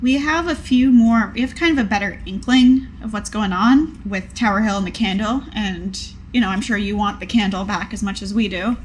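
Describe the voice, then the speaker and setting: A woman's voice: medium pitch, normal speed, hardly any pauses, a little hiss or hum, low-quality recording. A woman playing a tabletop role-playing game, talking casually as she improvises her part.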